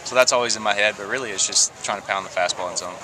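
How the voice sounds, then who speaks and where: A young man, a college baseball pitcher, answering postgame questions outdoors at night in an easygoing way, chuckling now and then. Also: rapid-fire, rough recording. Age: young adult. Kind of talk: postgame interview. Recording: outdoor crowd noise behind.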